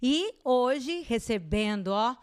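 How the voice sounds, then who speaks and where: A woman's voice: on the high side, expressive, slow, clear, resonant tone. A woman in her forties hosting a podcast in an upbeat, exclamatory way. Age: 40s. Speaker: podcast host. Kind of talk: casual podcast chat.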